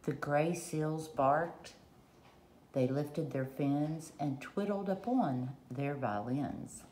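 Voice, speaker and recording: A woman reading a children's picture book aloud in short, deliberate rhyming phrases, recorded at a table at home. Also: low-pitched, mellow. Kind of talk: read-aloud storytelling. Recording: roomy echo, faint background noise.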